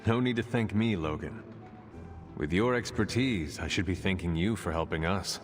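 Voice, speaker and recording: An actor playing a stoic, courteous warrior in a video game, speaking in a formal, measured way. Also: male voice, low-pitched, close to an everyday voice. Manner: formal, measured, avoids contractions. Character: stoic, formal male demon-hunting warrior, fearless and courteous. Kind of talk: video game cutscene acting. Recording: long reverb, faint music behind.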